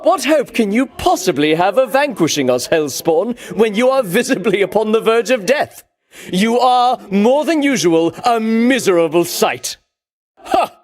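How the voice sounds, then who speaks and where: A man's voice: high-pitched, animated, measured pace. An actor voicing a pompous, boastful dead Greek hero-king for a video game, with ringing, declamatory delivery and grandiose archaic diction. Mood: scornful, grandiose. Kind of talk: theatrical video game voice acting.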